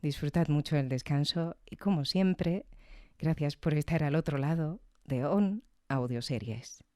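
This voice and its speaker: A woman podcaster talks through her review in a reflective, conversational way. Her voice is mellow and smooth, recorded close and dry. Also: low-pitched, a little slow, no room sound. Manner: reflective, frequent tag questions.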